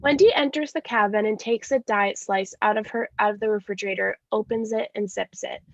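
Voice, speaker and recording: A woman's voice: mid-range voice, steady tone, fairly quick, creaky, a little hiss or hum. A woman reading scripted stage directions in a live staged reading of a play. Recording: dull lo-fi sound.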